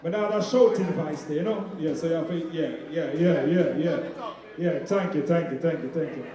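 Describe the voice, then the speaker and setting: A man, a sound system selector, testing the mic and giving relaxed shout-outs. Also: a little high, normal speed, crowd noise. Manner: relaxed, repetitive, giving respect. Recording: echoey hall.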